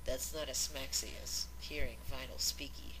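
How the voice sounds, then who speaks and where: Playful woman co-host with a bright voice. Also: a bass voice, fairly even intonation, normal speed, rough recording. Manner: playful, teasing. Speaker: co-host and voice performer. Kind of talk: casual banter.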